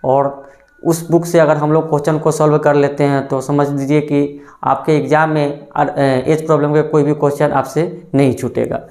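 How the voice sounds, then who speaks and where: A man's voice: on the high side, little pitch movement, moderate pace, a little mellow. Man who keeps quizzing then answering himself. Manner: asks the class questions and answers them himself, repeating each answer; calls listeners friends often. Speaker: maths teacher. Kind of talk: exam-prep maths lesson.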